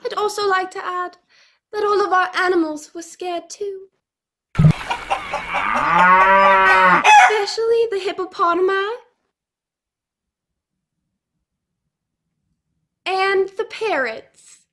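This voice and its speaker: A young performer, a woman, voicing a farmer's indignant, melodramatic wife in a shrill falsetto for a comic radio play. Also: steady tone, normal speed, heavy background noise. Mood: eager.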